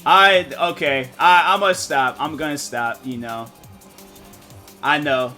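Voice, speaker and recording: A man streaming a game and chatting casually, rambling and thinking out loud with lots of fillers. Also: a little high, animated, slow, soft background music. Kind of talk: casual live-stream chat.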